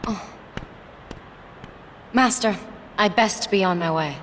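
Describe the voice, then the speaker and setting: An earnest young warrior woman with a medium-pitched voice and an unhurried pace. She sounds polite and dutiful, a fantasy game apprentice in long reverb with faint noise. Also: fairly bright tone. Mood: hesitant, polite. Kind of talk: dramatic fantasy game cutscene acting.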